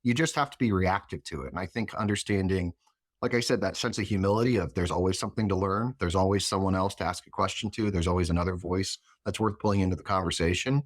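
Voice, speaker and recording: A man speaking as an interview guest in a reflective, explanatory way, phrasing his thoughts in a measured manner. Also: low voice, no echo, clean studio sound. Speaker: interview guest, chief of staff.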